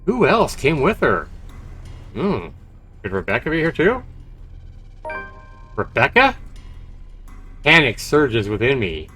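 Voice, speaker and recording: Expressive male game streamer, music behind. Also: medium pitch, slow pace, long pauses. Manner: dramatic reading with expressive, sweary reactions. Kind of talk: livestream let's play, reading game text aloud with commentary.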